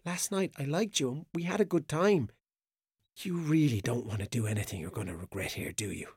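A man's voice: medium pitch, fairly quick, a little mellow, slightly rough, dry recording, clean studio sound. A man playing a frightened captive in a radio drama, with an agitated, defensive, pleading delivery. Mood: coaxing, wary.

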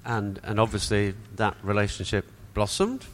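A man interviewing a church member on stage in a lively, lightly teasing way. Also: fairly low pitch, moderate pace, a little hiss or hum.

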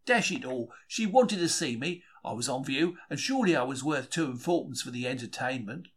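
A man's voice: medium pitch, animated, fairly quick, fairly bright tone, slightly rough. A man with a British accent reads a diary aloud as scripted first-person narration, telling it in a wry, colloquial way with old-fashioned slang. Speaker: reader of a diary for a podcast.

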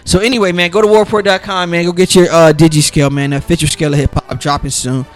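A man with a raspy, worn-down voice talks through a casual solo podcast, sounding a bit sick. He rambles loosely, restarting lines and filling gaps with ums. His voice sits a little high for a man, with faint music underneath. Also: fairly even intonation, quick pace. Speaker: podcast host making football picks.

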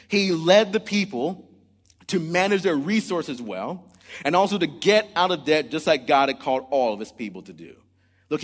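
A man's voice: on the high side, breathy edge, in a large hall. A man in his forties preaching a sermon in earnest, often opening with "man" or "look". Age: 40s. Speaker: pastor.

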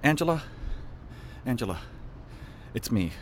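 Over noticeable background noise comes an embattled middle-aged official in an audio drama. His halting, profane talk swings widely in pitch. He sounds anxious and sardonic throughout. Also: very animated. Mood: desperate pleading. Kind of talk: naturalistic audio drama acting.

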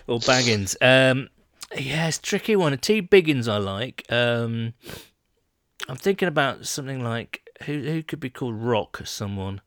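A man's voice: mid-range voice, lively intonation, measured pace, pauses often, a bit bright, dry recording, studio quality. A man co-hosting a podcast in casual chat, rambling and laughing as he reads out listener questions. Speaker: podcast co-host.

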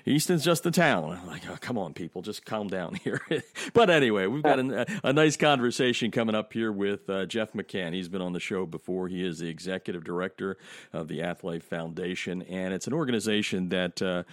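A man with a Lehigh Valley Pennsylvania Dutch-tinged accent hosts a casual podcast interview. His voice sits fairly low, and the sound is dry and close with no room around it. He chats freely, laughs easily and fills pauses with "uh.". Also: dry recording. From Pennsylvania, USA. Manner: chatty, laughs easily, frequent "uh" fillers. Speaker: podcast host.

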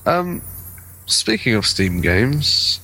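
A man's voice: fairly low pitch, sing-song, drawn-out words, background noise. A man co-hosting a podcast in casual conversation about video games.

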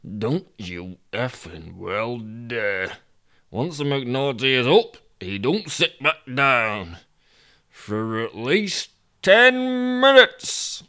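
A man's voice: mid-range voice, very animated, very slow, sharp tone, smooth, heavily acted. A man playing a belligerent, demanding, foul-mouthed man in a comic story. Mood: defiant. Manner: blustering, demanding, sweary. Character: belligerent, foul-mouthed man bossing his own legs.

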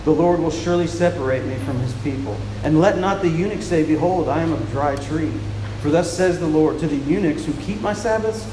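A soft-spoken man preaches into a handheld mic, his voice sitting a little high for a man. He often repeats words as he feels his way through each point. The sound rings around a large, echoing hall with steady background noise. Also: fairly quick, few pauses. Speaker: pastor. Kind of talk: sermon with scripture reading. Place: church with a large echoing room. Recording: echoey, reverberant hall with noise.

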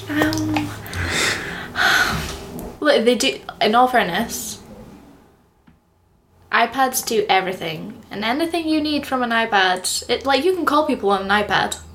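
A woman's voice: high-pitched, slight background noise. A young woman co-hosting a podcast in giggly casual chat, full of fillers. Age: young adult. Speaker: podcast co-host.

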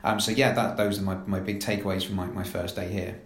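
A man's voice: deep voice, little pitch movement, quick pace, echoey room. A man in his thirties from London with a London accent, introducing himself as a new recruitment manager in a casual, filler-heavy way. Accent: London English. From London, England. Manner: chatty, frequent "uh" fillers and repeated "very, very". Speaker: assistant director of recruitment. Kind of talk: staff introduction interview.

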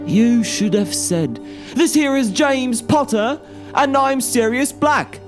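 A man's voice: on the high side, sing-song, normal speed, darkish tone, faint music behind. A man voicing a cheeky, insolent teenage boy, flippant and playful, in an audiobook story. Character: cheeky, smirking teenage boys, flippant and unbothered.

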